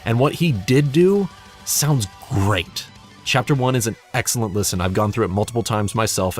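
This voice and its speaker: A young man giving a casual but scripted channel update in a wry, self-deprecating, sweary way. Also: fairly low pitch. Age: young adult. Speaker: hobby narrator and audio play director giving a channel update.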